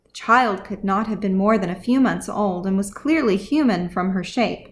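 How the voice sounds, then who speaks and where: A woman's voice: medium pitch, normal speed. A woman reading scripted fantasy fiction as audiobook narration, as the author of the story.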